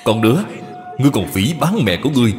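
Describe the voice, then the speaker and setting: A man voicing a stern, quick-tempered father from old China in a cartoon, with a scolding, commanding manner. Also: mid-range voice, very animated, darkish tone, close to an everyday voice. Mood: angry, commanding. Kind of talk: cartoon dubbing. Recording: long reverb, music behind.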